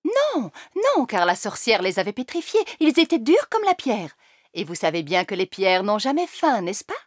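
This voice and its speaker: A woman voicing a gentle, proper fairy queen and mother, patient and expressive, in a French-dubbed children's cartoon. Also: on the high side, normal speed, no echo, clean studio sound. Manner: patient, expressive storytelling delivery.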